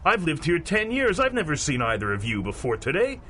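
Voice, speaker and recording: A man's voice: fairly high pitch, huge pitch swings, a little fast, bright, forceful tone, a little hiss or hum, heavily acted. An actor playing an anxious, stammering, harmless local man in a 1930s-style radio drama. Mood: wary, placating. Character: nervous, harmless local man cornered by toughs.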